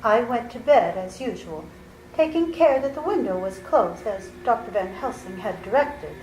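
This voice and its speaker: A woman playing a frightened, fragile young woman in a live radio play, speaking slowly and fearfully. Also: fairly high pitch, expressive, noisy background, natural voice. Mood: dread. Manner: slow, hushed and fearful. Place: library hall with live audience. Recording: echoey hall with faint music.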